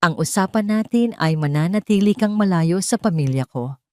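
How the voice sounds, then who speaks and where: A woman's voice: fairly low pitch, lively intonation, normal speed, no echo, lightly acted. A woman voicing a stern, angry male doctor, cold and firmly controlled, in a romance audio drama. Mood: cold anger.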